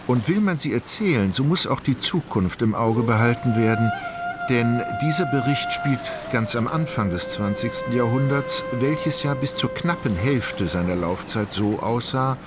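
A man narrating a literary radio drama in a measured, wry manner. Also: medium pitch, expressive, close to an everyday voice. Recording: studio voice over clear music.

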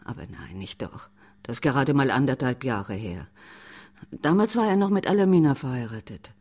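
Husky plain-spoken older woman. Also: very deep voice, animated, normal speed, darkish tone, heavily acted. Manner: frank, matter-of-fact answers. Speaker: witness. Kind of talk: German radio play acting.

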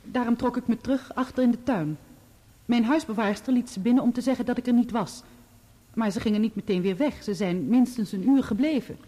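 A woman's voice: a little high, a little fast, dark tone, natural voice. An actress playing a guarded, dignified woman witness in a Dutch radio play, answering with restraint and precision. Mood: composed, regretful. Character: composed, guarded woman witness testifying in court.

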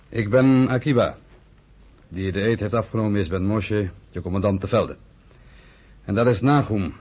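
The calm chief of an underground group in a radio play. His voice is fairly low and husky, with quiet authority. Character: authoritative, calm male leader of underground fighters. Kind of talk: radio drama acting.

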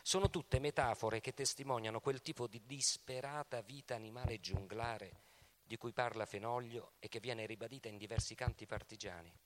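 A man giving dramatic scripted narration for radio theatre, speaking in a measured, deliberate way. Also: medium pitch. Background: music, plucked guitar.

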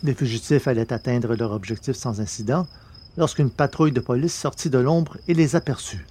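Steady male audiobook narrator. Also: medium pitch, a little hiss or hum. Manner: even, measured storytelling delivery. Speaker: narrator and producer of an audio drama podcast.

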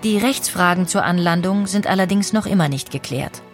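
A woman narrating a scripted history documentary with clear, even storytelling and rhetorical questions. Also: fairly low pitch, a little fast, soft background music.

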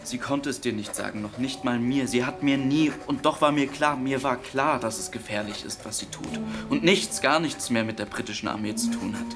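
An actor playing an earnest, troubled grown brother talking to his mother in a German radio drama, halting and emotional. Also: medium pitch, over music, natural voice. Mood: anguished.

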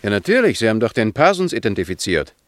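A man's voice: on the low side, big pitch swings, fast, no echo, studio quality. An actor playing a chatty, matter-of-fact desk policeman in a German radio drama.

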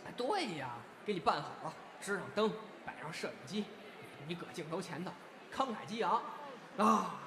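Man playing a lively, cheeky young crosstalk comedian. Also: high-pitched, normal speed. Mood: comic boasting. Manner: theatrical, teasing, playful. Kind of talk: crosstalk comedy dialogue in audio drama. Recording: loud background noise.

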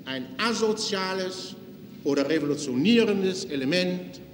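A pompous political orator. The high-pitched voice declaims solemnly with drawn-out emphasis, echoing through a hall with long reverb. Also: male voice, lively intonation. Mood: solemn, declamatory.

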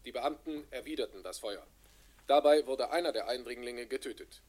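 A man acting a male radio newsreader within a crime play. His voice sits at a middle pitch. He reads formally and factually, keeping an impersonal, measured delivery. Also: darkish tone, close to an everyday voice. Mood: matter-of-fact. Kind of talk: scripted news reading in a radio drama.

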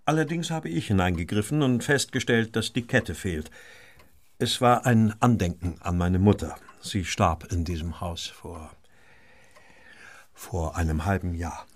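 An actor playing an agitated, well-to-do married gentleman in a German radio drama, speaking formally and fretfully. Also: deep voice, unhurried. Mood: subdued.

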